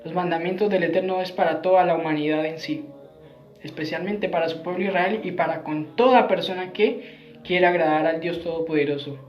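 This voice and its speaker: A man's voice: a little high, fairly even intonation, brisk, faint music behind. Man preaching with frequent tag questions. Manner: earnest, repetitive phrasing, frequent tag questions. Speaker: Messianic preacher. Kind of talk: Bible teaching sermon. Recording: roomy, dull lo-fi sound.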